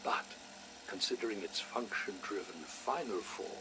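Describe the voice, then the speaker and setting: A man playing a studious, fascinated scientist dictating research notes for a video game, deliberate and erudite. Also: low-pitched, background noise. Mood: intrigued, studious. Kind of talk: scripted video game audio log.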